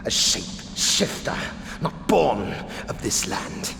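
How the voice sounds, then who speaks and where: Low gravelly chained prince in a fantasy game, desperate n weak, big echoey noisy recording. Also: male voice, very animated, heavily acted. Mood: anguished fury. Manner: halting, solemn, theatrical. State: weakened, short of breath. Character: captive prince, chained and tortured, desperate, grieving, weakened. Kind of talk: dramatic video game cutscene acting. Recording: noisy, echoing cavernous reverb.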